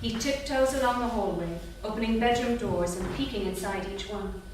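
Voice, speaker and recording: A woman's voice: medium pitch, moderate pace. A woman reading scripted narration and stage directions at a live staged reading. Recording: distant, very echoey theatre with noise.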